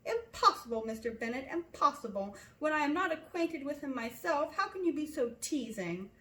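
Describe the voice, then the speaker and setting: A woman's voice: high-pitched, big pitch swings, normal speed, fairly bright tone, roomy sound, pitched above the performer's own voice, low-quality recording. A woman voicing a nervous, fretful middle-aged mother who complains and exclaims insistently in a storybook reading. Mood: exasperated.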